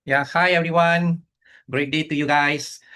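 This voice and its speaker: A man from Malaysia, a community leader, giving excited congratulatory remarks at a launch event with fillers and restarts. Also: a little high, unhurried, smooth. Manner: enthusiastic, repeats himself with fillers.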